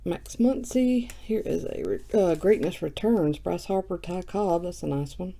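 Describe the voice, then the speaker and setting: A woman who has just woken up, with a low morning voice, chatting casually while opening trading cards on camera. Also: a little slow, gravelly, mellow. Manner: chatty and self-mocking, reading off card names. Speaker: card breaker. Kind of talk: casual card-opening vlog.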